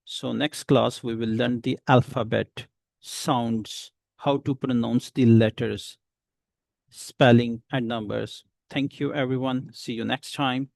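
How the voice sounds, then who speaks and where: Slow, gravelly-voiced male teacher. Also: mid-range voice, steady tone, darkish tone, no room sound. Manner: slow, patient explaining with many pauses. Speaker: English teacher. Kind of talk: beginner English lesson.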